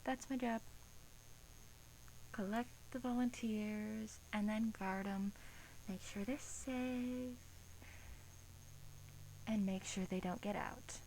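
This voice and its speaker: A woman playing a bored, teasing vampire guard in a soft-spoken ASMR roleplay, coaxing in hesitant, drawn-out phrases with an undertone of menace. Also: mid-range voice, steady tone, rough recording. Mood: matter-of-fact, menacing. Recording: faint background hiss.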